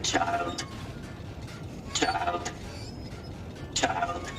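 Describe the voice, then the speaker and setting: A woman voicing an uncanny machine lifeform that mimics human affection in halting repetitions in a video game. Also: very deep voice, wildly swooping pitch, heavily acted. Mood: eerie longing. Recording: very loud game music behind.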